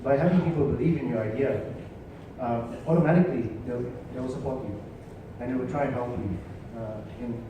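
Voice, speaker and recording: A man's voice: mid-range voice, fairly quick, phone-quality sound. Reflective man on a panel, echoey hall. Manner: reflective, with "um" and "you know". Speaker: panelist, company founder. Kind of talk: panel talk. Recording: echoey hall, distant mic, noisy.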